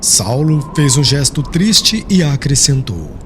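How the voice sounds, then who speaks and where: A man's voice: medium pitch, big pitch swings, takes it slowly. A man narrating an audiobook in a solemn, formal, literary storytelling style. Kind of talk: scripted dramatic literary narration. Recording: faint background noise.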